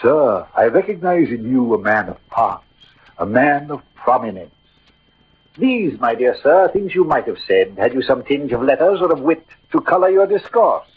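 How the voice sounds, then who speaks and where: Proud male duellist, 1940s radio verse: theatrical, mocking, very animated, phone-thin old recording. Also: mid-range voice, normal speed, husky, phone-quality sound. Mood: flamboyant, taunting. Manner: grandly declamatory, mocking. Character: swaggering proud Gascon swordsman, witty and combative.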